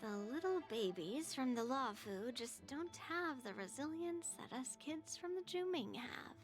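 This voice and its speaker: Cocky girl swordfighter, high voice, dry teasing, game music behind. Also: lively intonation, a little slow. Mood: smug teasing. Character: boastful, teasing young girl sword master. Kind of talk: video game voice acting.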